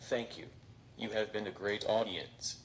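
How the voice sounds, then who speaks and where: A man voicing a deadpan robot comic. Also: lowish voice, unhurried, slight background noise, natural voice. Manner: deadpan, flat punchlines. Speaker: comedian. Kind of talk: stand-up comedy set. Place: stage before a live audience.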